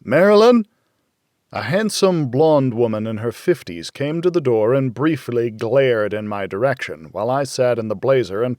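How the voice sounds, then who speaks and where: Expressive male audiobook narrator, unhurried and dry. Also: medium pitch, no echo. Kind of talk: scripted first-person thriller narration.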